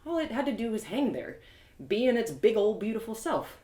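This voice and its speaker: A woman reading a story live in an expressive, theatrical way, as host and narrator. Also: on the high side, fairly quick. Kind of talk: animated first-person storybook narration. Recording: echoey room.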